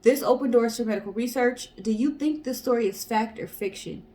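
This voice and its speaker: Higher-pitched woman telling a story. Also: a little fast, warm tone. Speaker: co-host of a storytelling show. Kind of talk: casual storytelling read from notes, addressing the audience.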